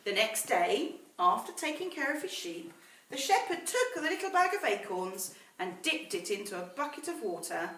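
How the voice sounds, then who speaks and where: A woman reading a story aloud to children in a teacherly way, pausing to explain words and ask questions. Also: fairly high pitch, big pitch swings, bright, forceful tone. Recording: roomy echo, distant mic, faint noise.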